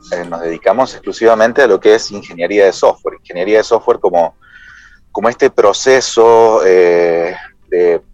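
Low-voiced man, dull lo-fi sound. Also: a little mellow, no echo. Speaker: interview guest, company CEO. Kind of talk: explanatory interview answers.

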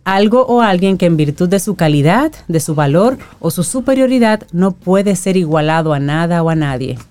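A woman co-hosting a morning radio show, playful and deliberate as she explains. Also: lowish voice, expressive, darkish tone, dry recording, soft background music. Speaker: radio co-host. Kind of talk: lively radio chat.

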